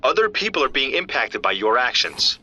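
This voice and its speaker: A worried, husky-voiced friend on a call. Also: male voice, medium pitch, very animated, moderate pace, sharp tone, heavily acted. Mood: earnest. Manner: urgent, pleading. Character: worried adult man friend, urgent and pleading. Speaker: caller. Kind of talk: audio drama acting.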